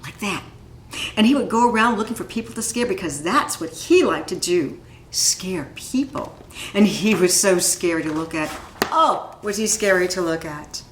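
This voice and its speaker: A woman's voice: mid-range voice, natural voice. A woman voicing a young boy in a storybook, sheepish and playful, with a hesitant, dramatic way of talking. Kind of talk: storybook character voice. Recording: echoey room.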